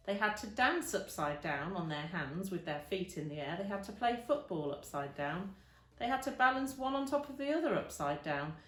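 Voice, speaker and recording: A woman reading a children's storybook aloud with expressive, emphatic narration. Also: medium pitch. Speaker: storybook reader. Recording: roomy, echoing indoor sound.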